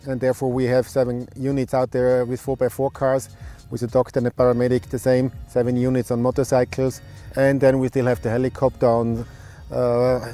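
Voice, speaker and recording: A man's voice: medium pitch, mellow. A man from the race organization answering questions in a matter-of-fact way. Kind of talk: interview answer.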